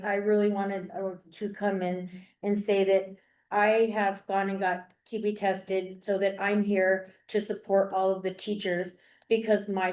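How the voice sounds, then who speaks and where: A woman's voice: mid-range voice, a little mellow. Earnest grandmother at a public comment mic. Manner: earnest, rambling, repeats herself. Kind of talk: public comment at board meeting. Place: school board meeting room. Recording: echoey meeting room.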